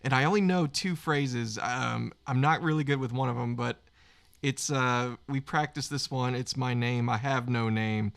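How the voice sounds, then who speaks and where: An American man talks in a casual, conversational way. His voice sits at an ordinary middle pitch, as he takes part in a livestream chat. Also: steady tone, moderate pace, creaky, a bit bright, smooth.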